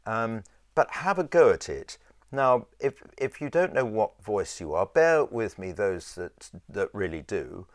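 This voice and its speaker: A man with a low voice teaching a choir in an informal, rambling and halting conversational way. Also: sing-song, no room sound. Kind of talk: informal instructional talk with sung demonstrations.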